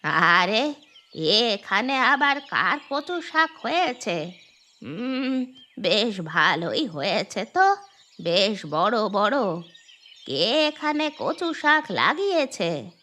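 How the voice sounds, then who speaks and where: A woman's voice: medium pitch, big pitch swings, drawn-out words, sharp tone, exaggerated voice. A woman voicing a stingy, nosy old village grandmother in a cartoon story. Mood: curious, suspicious.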